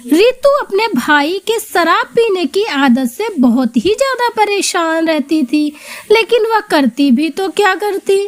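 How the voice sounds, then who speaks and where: A woman's voice: very high-pitched, lively intonation, a little slow. A woman narrating a scripted story as its storyteller.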